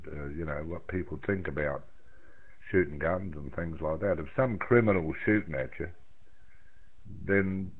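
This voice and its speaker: A man's voice: low-pitched, husky. A man chatting casually over the radio in a blunt, folksy, colloquial way, rambling along. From outside the United States. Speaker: amateur radio operator chatting with friends. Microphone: radio microphone over internet link. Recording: narrow, tinny phone-like radio link.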